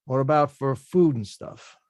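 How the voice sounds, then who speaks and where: A man's voice: medium pitch, measured pace, darkish tone, no room sound, clean studio sound, close to an everyday voice. A man playing a laid-back, plainspoken boxer who collects debts, in a script table read. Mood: flat, pressing. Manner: blunt, plainspoken, understated.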